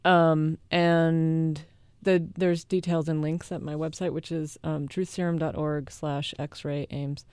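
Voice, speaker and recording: A woman in her late thirties chatting casually as an interview guest, halting as she explains things. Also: low-pitched, level tone, darkish tone, clear, resonant tone, dry recording, studio quality. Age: late 30s. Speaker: guest, needle-piercing performance artist and teacher.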